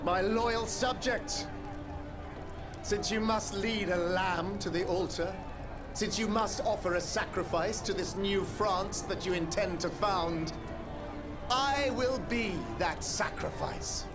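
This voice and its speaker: An actor playing a sorrowful, self-pitying condemned French king in a game cutscene, speaking in a grandiloquent, mournful way. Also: sits high. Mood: solemn, lamenting. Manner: grandiloquent, mournful, drawn-out delivery. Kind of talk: theatrical game cutscene monologue. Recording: loud music under the voice. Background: loud music.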